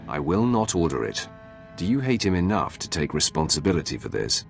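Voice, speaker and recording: Deep-voiced, commanding dark lord. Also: male voice, sing-song, gravelly, soft background music, full character voice. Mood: cool, commanding. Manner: authoritative, measured. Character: commanding, self-assured male Sith lord. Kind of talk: video game voice acting.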